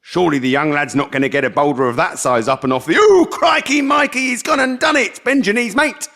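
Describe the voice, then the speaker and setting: A blokey stepdad figure calls the action like a sports commentator, as a man puts him on. His voice rides high and excited. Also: fairly quick. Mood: mock commentary. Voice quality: jokey sports-commentator patter. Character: cheerful bloke filming a holiday video.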